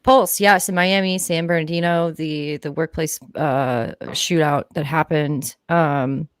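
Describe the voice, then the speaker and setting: Incredulous lower-voiced woman, dry close sound. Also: steady tone, unhurried, clear, resonant tone, dry recording. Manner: incredulous, dismissive, sweary. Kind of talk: casual conversational commentary.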